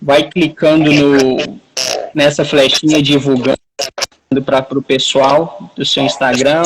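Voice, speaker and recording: A man's voice: on the high side, background noise. A man in his forties with a Minas Gerais accent, hosting a casual live video call from home, chatty and joking, with many restarts. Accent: Minas Gerais Portuguese. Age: 40s. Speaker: host of a live chat. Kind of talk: casual live-stream chat. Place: at home, near the wifi router.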